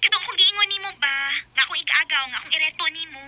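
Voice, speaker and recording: A pushy, excited teenage friend in a radio drama who is setting up a date. The voice is extremely high. Loud cricket and insect noise fills the background. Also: female voice, fast. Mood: eager. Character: excited teenage girl friend matchmaking by phone. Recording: loud cricket ambience behind.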